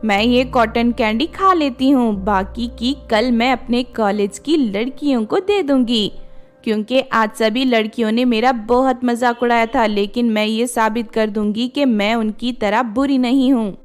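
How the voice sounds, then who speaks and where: A naive, earnest college girl, played by a woman narrator, with a fairly high voice. She speaks as a storybook character over soft music. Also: unhurried, close to an everyday voice. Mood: eager, proud. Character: naive college girl, eager and easily hurt.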